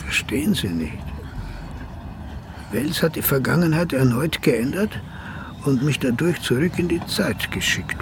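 An anguished, learned psychotherapist in a radio play. His phrasing is formal and deliberate, with a highly animated melody. Clear background noise sits behind him. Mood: bleak, remorseful. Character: anguished male psychotherapist, learned and ironic, later desperate. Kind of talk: German radio drama acting.

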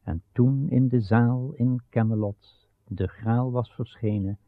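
A man narrating a radio play of old legend in a grave, measured, solemn storytelling style with dramatic pauses. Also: medium pitch, no echo. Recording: muffled, narrow-band old radio recording.

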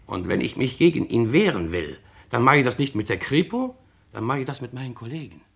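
An actor playing a defiant, plain-spoken factory worker in a radio crime drama, coarse and argumentative, with a creaky voice. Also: male voice, mid-range voice, big pitch swings, a little fast, a bit bright. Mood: bitter, stubborn.

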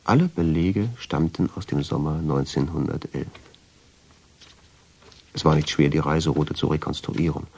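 An actor playing a brooding man who narrates a radio drama in the first person, measured and suspenseful. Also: deep voice, a little mellow, a little hiss or hum. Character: brooding man recounting a mysterious family discovery.

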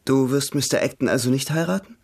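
An actor playing a cheerful, charming, lightly teasing young bridegroom in a German radio drama, with a husky voice. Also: male voice, medium pitch, fast talker, darkish tone. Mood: gently probing.

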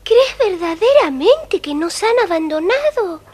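Timid little girl, very high voice, slow delivery, plaintive, storybook drama, noisy recording. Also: sing-song, heavily acted. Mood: anxious. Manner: plaintive, childlike. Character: timid, frightened little girl, younger sister. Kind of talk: dramatized children's audio storybook acting.

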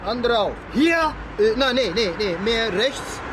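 A man's voice: very high-pitched, lively intonation, a little slow. An actor playing a curious, streetwise man from a Berlin corner shop, speaking clipped slang in a radio drama. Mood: alert. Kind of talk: naturalistic radio drama acting. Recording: very loud street noise.

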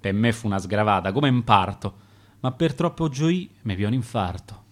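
A man's voice: lowish voice, expressive, moderate pace, lightly acted. A man reading the verse of a dead hypochondriac, in a Roman accent. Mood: anxious. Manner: reciting dialect verse in rhyme.

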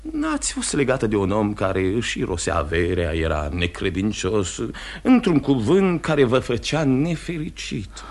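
Low-voiced, swooping courteous investigating magistrate. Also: male voice, wildly swooping pitch, darkish tone, heavily acted. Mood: insinuating, persuasive. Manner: formal, courteous, halting repetitions. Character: smooth, insistent male investigating magistrate, courteous yet pressing. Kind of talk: mid-century radio theatre acting.

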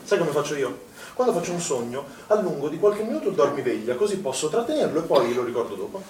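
A man's voice: on the high side, very animated, fast talker, darkish tone. An actor playing a concerned, inquisitive man who works at a pool, asking insistent questions conversationally in an Italian stage drama. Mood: earnest, helpful. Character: concerned, inquisitive male swimming pool colleague. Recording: distant echoey stage recording with background noise.

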